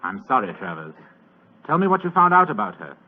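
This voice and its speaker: An actor playing a fat, lazy, superior British government official in a 1940s radio drama, with a languid, pompous, condescending manner. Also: male voice, medium pitch, very animated, brisk. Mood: languid, dry. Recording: old muffled 1940s radio recording.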